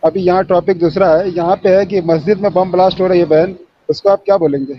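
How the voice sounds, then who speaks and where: Insistent high-voiced man on a dull line. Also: steady tone, fast talker, dry recording. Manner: insistent, quotes scripture. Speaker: debate panelist. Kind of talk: heated online debate.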